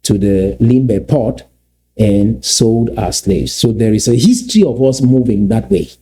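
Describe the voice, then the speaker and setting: Middle-aged man, Cameroonian English accent, warm low timbre, lecturing commentator who poses rhetorical questions. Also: animated, unhurried. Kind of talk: commentary on played clips.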